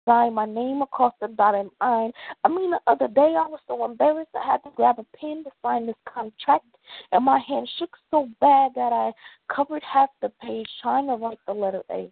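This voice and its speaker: A woman performs a confessional spoken word poem in a dramatic, deliberate way, with long pauses between phrases. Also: fairly high pitch, gravelly, dry recording, phone-quality sound.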